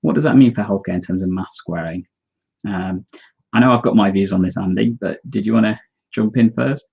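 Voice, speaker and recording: Gravelly deep man, lo-fi audio. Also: brisk, a little mellow. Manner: earnest, explanatory, opinionated. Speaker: co-host. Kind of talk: live discussion, reading viewer comments.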